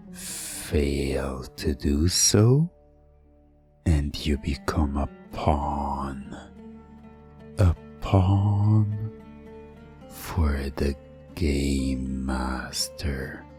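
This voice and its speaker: A man narrating a hypnosis story in a theatrical, deliberate way, with dramatic pauses and drops toward a whisper. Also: very deep voice, a little mellow.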